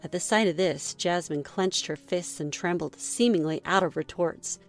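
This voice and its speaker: A woman reading a scripted first-person story in a measured read-aloud manner. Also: on the low side, faint music behind. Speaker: story narrator.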